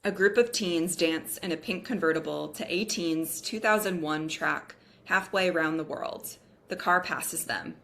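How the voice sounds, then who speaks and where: A woman's voice: low voice, measured pace, creaky, few pauses, fairly bright tone. A woman reading the scene directions of a script as narrator at a table read.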